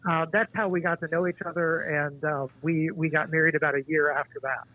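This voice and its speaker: A man in his forties, a guest author, speaking in a halting, thoughtful way with many restarts. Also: a little high, steady tone. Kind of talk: casual interview conversation. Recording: tinny dry phone line.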